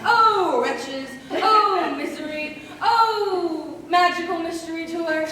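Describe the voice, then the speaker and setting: Loony prophet housekeeper, wailing doom way up high, school play, super echoey. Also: female voice, slow pace, pushed and bright, full character voice. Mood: ominous, melodramatic. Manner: melodramatic, declamatory wailing. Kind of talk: high school stage comedy acting. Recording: echoey stage, distant mic, noisy.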